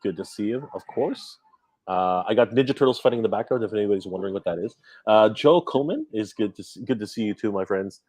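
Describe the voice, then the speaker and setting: An excitable man whose pitch leaps around as he chats on a live stream. He keeps repeating words as he rushes to greet people, recorded close and dry. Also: dry recording, rough recording. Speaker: guest co-host. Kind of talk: casual live-stream chat.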